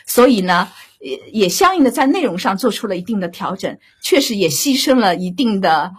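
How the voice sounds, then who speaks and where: Female director, live discussion. Heavily husky, lively intonation, pauses to find her words. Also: mid-range voice. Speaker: radio drama director.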